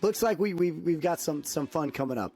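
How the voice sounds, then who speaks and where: A man co-hosting a casual music podcast, telling stories emphatically with halting restarts, on a close microphone. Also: a little high, expressive, a little mellow. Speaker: podcast co-host.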